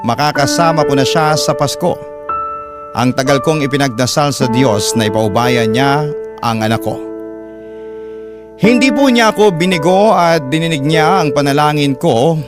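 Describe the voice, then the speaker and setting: A man's voice: on the high side, animated, normal speed, long pauses. A man reading a first-person letter as a radio story host, in a dramatic, heartfelt storytelling style. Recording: loud music under the narration.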